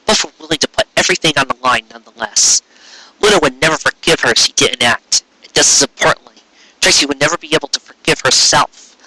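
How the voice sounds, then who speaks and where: A man reading a story aloud in an expressive live style, with a casual way of talking. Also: on the high side, fast, rough recording. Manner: expressive, acting out character dialogue. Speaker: reader of fan fiction.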